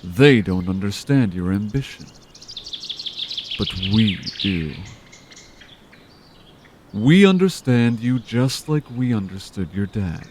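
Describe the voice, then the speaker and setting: An actor playing a scheming male villain who coaxes and manipulates in a slow, persuasive way. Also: fairly low pitch, expressive, darkish tone, a little hiss or hum. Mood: coaxing. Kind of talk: amateur audio drama acting.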